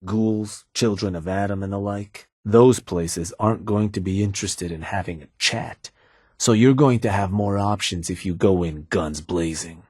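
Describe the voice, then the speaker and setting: Casting: a laid-back raider gang fixer for a game, low voice, dry and matter-of-fact. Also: male voice, moderate pace, a little mellow, slightly rough, no echo. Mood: businesslike. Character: laid-back male raider fixer serving his boss. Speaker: gang fixer taking orders. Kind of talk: video game dialogue.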